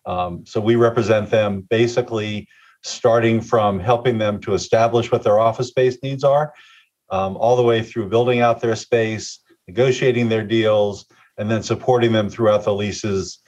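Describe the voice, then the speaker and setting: An older man answering interview questions about his firm, halting with many fillers and restarts. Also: lowish voice, steady tone, a little mellow. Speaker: guest, real estate executive. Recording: slightly muffled mic.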